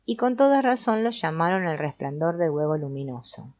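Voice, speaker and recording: A woman reading an audiobook as narrator in a measured, explanatory way. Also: deep voice, animated, a little mellow. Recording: dull, lo-fi sound.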